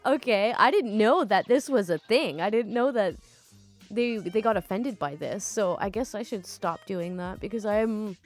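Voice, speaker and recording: Chatty woman reading stories aloud. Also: medium pitch. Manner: chatty, laughs often, swears casually. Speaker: storytime YouTuber. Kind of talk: casual reading of online stories with commentary.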